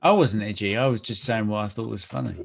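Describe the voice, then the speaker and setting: A middle-aged man co-hosts a casual podcast in a lowish voice with a gravelly rasp. He talks in a wry, self-deprecating way and laughs at his own stories. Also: fast. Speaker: podcast co-host. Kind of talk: casual chat.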